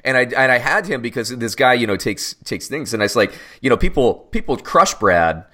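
A man's voice: medium pitch, big pitch swings, a little fast, pushed and bright. A young man co-hosting a casual sports podcast, teasing his partner and rambling with restarts. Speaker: podcast co-host.

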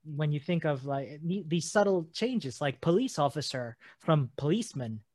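An earnest man co-hosts a podcast discussion, his voice sitting a little high for a man. He often stumbles and restarts mid-phrase while thinking aloud. The sound is dry and close, with no room echo. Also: expressive, a little mellow. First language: not German. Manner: earnest, halting with many restarts. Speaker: podcast co-host.

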